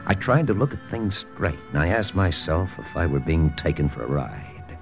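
A man's voice: rumbling low, sing-song, moderate pace, music in the background, heavily acted. An actor in a 1940s radio drama playing an American spy officer who narrates his story, terse and brooding. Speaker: narrator and lead character.

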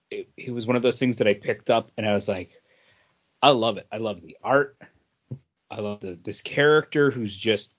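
A man's voice: lowish voice, a bit bright. A man co-hosting a podcast, talking casually and informally with the enthusiasm of a fan. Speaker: podcast co-host. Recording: dull, band-limited podcast audio.

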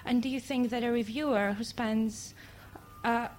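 A native Greek woman with a Greek accent, asking an earnest, polite, halting question from the audience at a public event. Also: fairly high pitch, steady tone, a little mellow. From Greece. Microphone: handheld audience microphone. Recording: faint background noise, live event.

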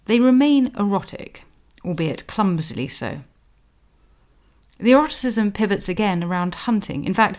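Articulate woman historian narrating a podcast. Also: medium pitch. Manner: articulate, scholarly, deliberate emphasis. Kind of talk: scripted explanatory narration.

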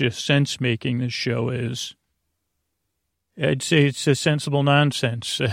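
A man with a gravelly, fry-laden voice hosts a bedtime podcast. He talks in a halting, digressive way, trailing off and wandering between tangents. The sound is dry, with no room around the voice. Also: medium pitch, dry recording. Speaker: sleep podcast host. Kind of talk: rambling, meandering bedtime monologue.

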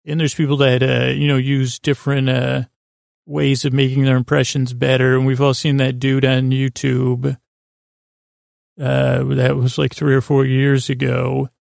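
Very creaky, gravelly man rambling softly along. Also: medium pitch, monotone, dry recording, studio quality. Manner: meandering, halting, self-deprecating. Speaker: podcast host. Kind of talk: rambling bedtime monologue.

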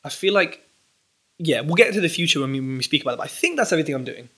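A young man chatting casually as a podcast guest, talking in an animated, rambling way with restarts. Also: on the high side, rapid-fire, breathy edge. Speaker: podcast guest, property entrepreneur. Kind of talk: casual interview chat.

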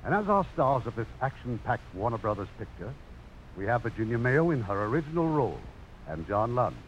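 Animated vintage radio host, muffled recording. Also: male voice, medium pitch, slight background noise. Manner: formal, dramatic, deliberate phrasing. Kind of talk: formal 1950s radio presenting and scripted narration.

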